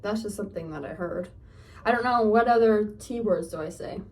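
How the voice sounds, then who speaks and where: A woman's voice: medium pitch, fairly quick, a little mellow. A young woman from Northern California with a Californian accent, chatting casually in a get-ready-with-me vlog. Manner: rambling, chatty, lots of fillers. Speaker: lifestyle vlogger. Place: home, getting ready. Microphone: phone camera. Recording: voice a bit off-mic, from a distance.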